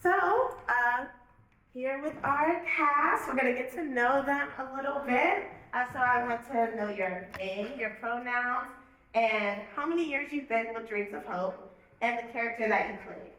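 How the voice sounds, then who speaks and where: A woman in her twenties casually moderating a post-show talkback, relaxed and full of fillers. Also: a little high, a little slow. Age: 20s. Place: theater hall. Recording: roomy hall, audience murmur.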